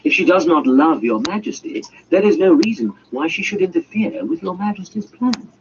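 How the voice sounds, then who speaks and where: A man voicing a brilliant, dry gentleman detective in a storybook reading, with crisp, reasoned delivery. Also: sits high, animated, normal speed, pitched above the performer's own voice. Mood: dry, assured. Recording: dull audio with faint hiss.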